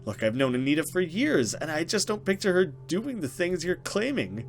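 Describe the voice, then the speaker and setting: A man's voice: on the high side, big pitch swings, moderate pace, faint music behind, voice pushed up. A man voicing a protective, earnest young woman full of questions in a horror visual novel. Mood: confused, doubtful.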